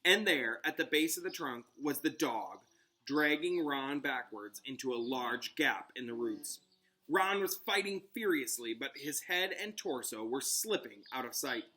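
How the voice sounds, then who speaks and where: A man's voice: medium pitch, expressive, measured pace, bright, forceful tone. A man reading a storybook aloud as a teacher, with dramatic narration aimed at children. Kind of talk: expressive storybook read-aloud with asides.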